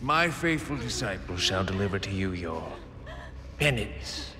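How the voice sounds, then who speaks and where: A man's voice: low voice, expressive, a little slow, noisy background. An actor voicing a grandiose, pious older male cult leader, preaching solemnly and commandingly as a video game villain. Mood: soothing, sinister. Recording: long cavernous reverb under loud music.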